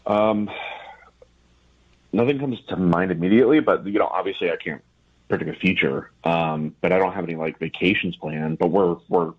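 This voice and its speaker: A man's voice: low voice, normal speed, breathy edge. A man in his thirties having a casual phone conversation. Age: 30s. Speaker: man answering a phone call. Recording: muffled lo-fi phone call.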